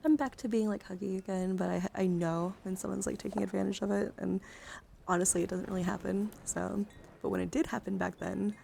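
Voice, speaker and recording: A woman livestreamer talking in a casual, rambling ASMR style, with a soft voice that often slips toward a breathy whisper, close to the microphone. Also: medium pitch, fairly even intonation, a little fast, a little hiss or hum. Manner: rambling, informal. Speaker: livestreamer showing card decks.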